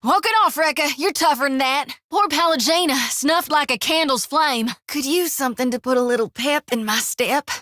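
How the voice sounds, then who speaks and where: A woman voicing a folksy, devout young priestess in a video game, with an earnest, expressive Southern US drawl. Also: high-pitched, moderate pace, few pauses, dry recording, studio quality. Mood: brisk, concerned. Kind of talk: video game character voice lines.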